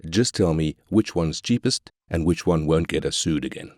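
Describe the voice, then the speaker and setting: An arrogant business magnate with an extremely deep voice, recorded dry and close. He is brash, cynical and commanding, a callous corporate boss from a strategy game. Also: expressive, moderate pace, no echo. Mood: irritated, callous. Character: ruthless, arrogant South African corporate tycoon. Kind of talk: scripted game character quotes.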